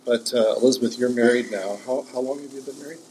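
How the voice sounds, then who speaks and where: A man's voice: mid-range voice, quick pace, darkish tone, slight background noise, low-quality recording. A man hosting a casual interview, enthusiastic and full of follow-up questions. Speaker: interviewer, host.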